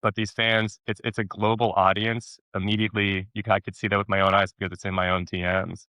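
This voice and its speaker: Male actor as interview guest: low voice, rapid delivery, animated storytelling, close dry sound. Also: no room sound, rough recording. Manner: animated storyteller, repeats words for emphasis and acts out quotes. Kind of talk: casual interview chat.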